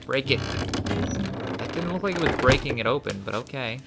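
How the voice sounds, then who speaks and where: A man casually commenting on a game as he plays, thinking aloud and reading the notes he finds out loud. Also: medium pitch, expressive, moderate pace, vocal fry, very noisy. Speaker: let's play host.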